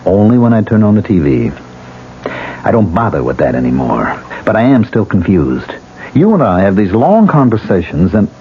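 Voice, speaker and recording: A man's voice: on the low side, big pitch swings, moderate pace. An actor playing a tormented, sardonic man who talks to a ghost, in theatrical 1970s radio drama style. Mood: troubled. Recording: muffled, hissy 1970s radio recording.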